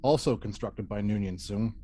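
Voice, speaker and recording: A man reading the part of a stern first officer acting as prosecutor, deliberate and formal, in a sci-fi table read. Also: fairly low pitch, mellow, natural voice. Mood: grave, forceful. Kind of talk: amateur table read of a sci-fi courtroom script.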